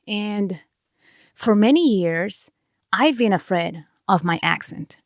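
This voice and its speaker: A woman's voice: medium pitch, fairly bright tone, no echo. Middle-aged woman, native Spanish speaker, Mexican accent, sincere motivational podcast host, dry close mic. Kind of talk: reflective motivational monologue.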